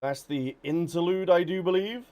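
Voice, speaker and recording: Chatty higher-voiced man livestreaming games. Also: moderate pace, darkish tone. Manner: chatty, addressing viewers directly. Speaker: game streamer. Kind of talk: casual livestream commentary. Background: game music.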